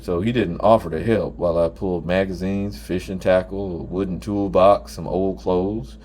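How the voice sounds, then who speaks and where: Low-voiced man narrating a story. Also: a little mellow. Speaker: audiobook narrator. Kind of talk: scripted first-person story narration.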